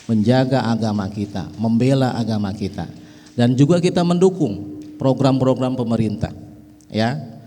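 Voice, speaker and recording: Middle-aged man, Betawi accent, folksy ceremony speech, mellow tone, hall echo. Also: medium pitch, normal speed. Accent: Betawi and West Java Indonesian. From West Java, Indonesia. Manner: folksy, with frequent "ya" asides. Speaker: speaker at an organization event. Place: large hall.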